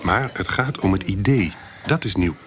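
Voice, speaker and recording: An actor playing a sly, shabby swindler in a Dutch radio play, wry and persuasive with a slightly hesitant delivery. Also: male voice, sits low, animated, normal speed, a bit bright. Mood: wry, scheming. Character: sly, shabby male swindler pitching a shady trade. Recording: noisy radio play recording.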